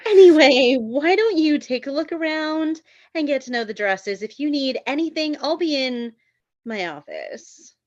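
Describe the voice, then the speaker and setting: A woman's voice: high-pitched, animated, measured pace, a little mellow, no room sound, lo-fi sound, voice pushed up. A woman voicing a glamorous, haughty bridal shop manager with an affected, effusive manner in a comedy screenplay read. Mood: polished welcome.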